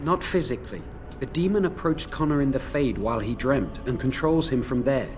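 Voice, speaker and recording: A man's voice: mid-range voice, lively intonation, a little fast, darkish tone, a little hiss or hum, natural voice. A man voicing a remorseful young mage in a video game, apologetic and earnest as he explains a risky ritual.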